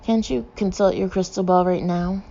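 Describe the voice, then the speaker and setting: A woman's voice: on the low side, fairly even intonation, moderate pace, vocal fry, warm tone, dull audio. A woman voicing a smug, scheming male villain in a storybook, greedy, impatient and condescending.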